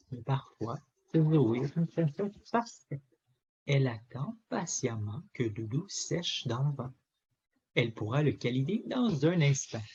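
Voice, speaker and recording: A man reading a children's story aloud in an expressive, theatrical way with emphatic phrasing. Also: a little high, slightly rough, dull audio. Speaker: storybook reader.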